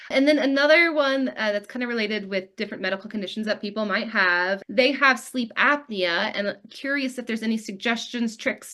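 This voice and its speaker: A woman's voice: medium pitch, sharp tone. A woman moderating a webinar over a video call, casual and upbeat, relaying audience questions. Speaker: webinar host.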